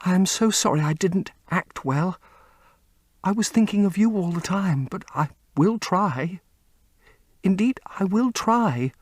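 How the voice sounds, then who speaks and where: A man's voice: sits high, lively intonation, measured pace, dry recording, clean studio sound, voice pushed up. Sobbing young woman begging. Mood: tearful pleading. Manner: tearful, breathless begging. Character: desperate young actress pleading with her lover.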